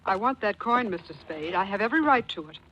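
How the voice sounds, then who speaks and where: An actress playing a flustered, secretive secretary and widow, hesitant and pleading, in 1940s radio drama style. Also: female voice, mid-range voice, lively intonation, fast, slight background noise, lightly acted. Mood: urgent, nervous. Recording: muffled 1940s radio recording.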